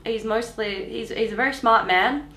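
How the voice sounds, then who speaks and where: A woman's voice: fairly high pitch, moderate pace, pushed and bright, roomy sound. A woman in her twenties with an Australian accent, chatting casually and bluntly, with colloquial opinions. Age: 20s.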